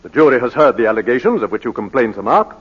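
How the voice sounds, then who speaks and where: An actor playing a formal, courteous British barrister examining his client, with measured oratory in 1940s radio drama style. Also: medium pitch, very animated, slightly rough. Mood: measured, probing. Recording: muffled vintage 1940s radio broadcast.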